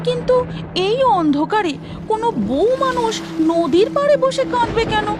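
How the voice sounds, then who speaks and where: A woman's voice: extremely high pitch, lively intonation, moderate pace, loud music behind the voice. A woman voicing an anxious, devout village wife in a cartoon story, with a Bengali accent and rustic, exclamatory delivery. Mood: fearful. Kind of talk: melodramatic cartoon story acting.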